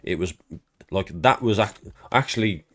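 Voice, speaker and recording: A man's voice: lowish voice, moderate pace, fairly bright tone. A man with a congested, stuffed-up voice, rambling casually and self-deprecatingly as he walks through his game collection for his channel. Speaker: YouTube creator.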